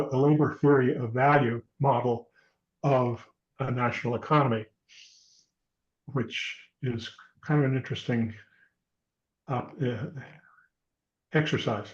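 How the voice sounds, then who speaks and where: Older guy in his 70s, rambling intro over a dull muffled video call. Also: mid-range voice. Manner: rambling, reflective, self-correcting. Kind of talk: self-introduction in online meeting.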